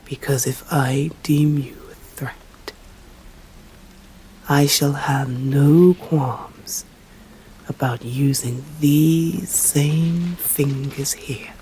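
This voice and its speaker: A woman with an English accent voicing an ancient, stern female vampire in an ASMR roleplay, speaking formally in slow, hushed whispers. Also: deep voice, a little hiss or hum, low-quality recording. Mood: menacing.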